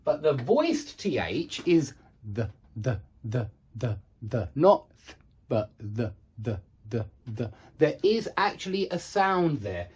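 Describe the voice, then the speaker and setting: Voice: mid-range voice, sing-song, slow pace, a little mellow, slightly rough. An English pronunciation teacher giving a lesson, frank and self-deprecating, repeating examples with careful enunciation. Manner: self-deprecating, frank about own difficulty. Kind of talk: instructional lesson with demonstrated sounds.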